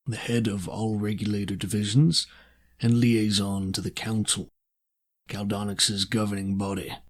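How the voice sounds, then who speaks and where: A man voicing a stern reptilian arbiter in a tabletop game, with a deep, gravelly voice and a formal, measured, commanding delivery. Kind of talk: tabletop game character speech.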